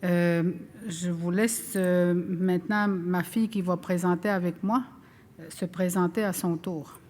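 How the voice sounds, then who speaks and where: An older woman whose first language is Innu, giving a conference presentation as a language teacher, talking slowly with frequent fillers and pauses. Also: fairly low pitch, fairly even intonation, darkish tone. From Quebec, Canada. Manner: slow, hesitant, many fillers.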